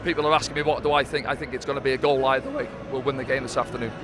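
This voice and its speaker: A man's voice: medium pitch, level tone, racing. A man, a former player, giving live football analysis, chatty, with fillers and tag questions, speaking outdoors at a stadium. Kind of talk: live pre-match analysis. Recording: music playing behind.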